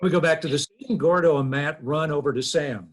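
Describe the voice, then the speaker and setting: A man's voice: a little high, brisk. A man reading the stage directions at a table read, plain and matter-of-fact.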